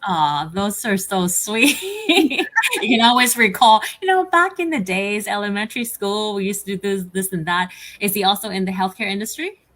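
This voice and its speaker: A woman's voice: medium pitch, lively intonation, normal speed, fairly bright tone. Female host, from Canada, live online chat show; upbeat, emphatic, repeats words.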